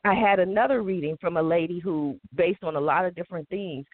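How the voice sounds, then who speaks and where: A woman's voice: lowish voice, fairly quick, no echo. A talkative woman in her forties hosts a casual conversation and tells her stories at length. Her voice comes through a narrow, tinny line. The sound is close and dry. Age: 40s. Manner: long-winded, chatty storyteller. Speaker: host. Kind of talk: casual interview chat.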